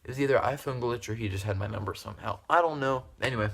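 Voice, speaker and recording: A man's voice: mid-range voice, very fast, creaky, a bit bright. A young man telling a story casually to camera in a rambling, giggly, repetitive way. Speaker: video creator telling stories.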